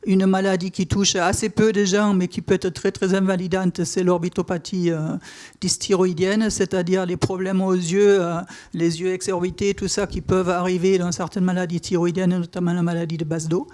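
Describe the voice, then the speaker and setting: A middle-aged German-born woman with a Toulouse accent and German as first language, giving a halting personal account at a panel discussion. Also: fairly low pitch, level tone, a little mellow. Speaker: patient association president. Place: on stage at a conference. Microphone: stage microphone.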